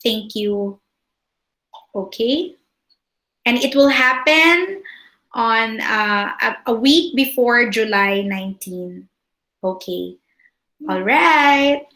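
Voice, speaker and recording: Female school administrator, Filipino accent, native Tagalog. Unhurried, slightly high voice, polite and halting, fielding questions in a roomy online meeting. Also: bright, forceful tone. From Philippines. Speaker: school administrator hosting a parent orientation. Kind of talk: informal question-and-answer presentation to parents.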